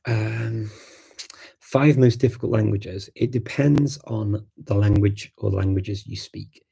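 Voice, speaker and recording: A man's voice: lowish voice, slow, gravelly, mellow, breathy edge. A man whose first language is Macedonian, hosting a live stream and chatting casually in answer to viewer questions, thinking aloud with many ums and restarts.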